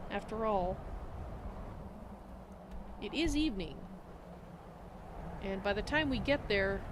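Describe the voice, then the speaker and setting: A woman's voice: a little high, noisy background. A woman voicing a polite, chatty female cat-folk adventurer in improvised fantasy game roleplay, referring to herself in the third person. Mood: casual, friendly. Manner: speaks of self in third person. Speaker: roleplay streamer.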